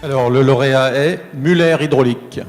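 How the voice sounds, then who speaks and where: Male presenter handing over a trophy, measured delivery, hall echo. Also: medium pitch, music in the background. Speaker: award presenter. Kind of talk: formal prize presentation. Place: large exhibition hall. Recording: hall reverb.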